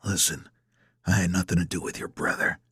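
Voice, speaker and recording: A man voicing a menacing official in an audiobook, hushed and harsh, with measured, clipped, threatening speech. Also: low-pitched, fairly even intonation, breathy edge, no room sound, studio quality, pitched below the performer's own voice, full character voice. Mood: coldly confident. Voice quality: hushed, harsh, partly whispered. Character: menacing older male villain, smooth official hiding threats. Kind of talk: acted audiobook dialogue.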